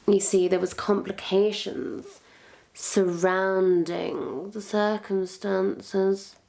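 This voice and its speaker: A woman's voice: medium pitch, level tone, extremely slow, creaky, warm tone, rough recording. A woman playing a wicked, theatrical witch in a suspenseful roleplay, pompous and florid, savoring each phrase as she toys with a captive. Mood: sly, gossiping. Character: wicked, theatrical female witch, smugly toying with her captive. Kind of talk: suspenseful point-of-view roleplay monologue.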